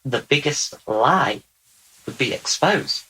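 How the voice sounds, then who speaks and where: A man channeling a measured otherworldly being. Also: medium pitch, animated, pushed and bright, breathy edge, heavily acted, rough recording. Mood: earnest. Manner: slow, deliberate and repetitive. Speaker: channeled speaker. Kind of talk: channeled spiritual talk.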